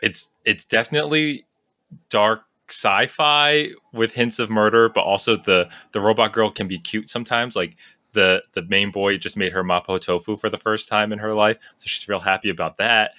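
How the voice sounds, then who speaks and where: Male podcast host, Long Island accent, playful and quick to laugh, crisp bright tone. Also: on the low side, steady tone, dry recording. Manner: joking, playful, laughs often. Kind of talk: casual chat.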